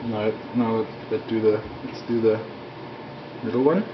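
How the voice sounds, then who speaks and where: A man talks through a kitchen experiment in bits and pieces. He stops and restarts his phrases while he concentrates. The recording is dull and muffled, with steady noise behind him. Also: on the low side, a little slow. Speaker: home experimenter. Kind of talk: casual commentary on a kitchen experiment. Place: home kitchen.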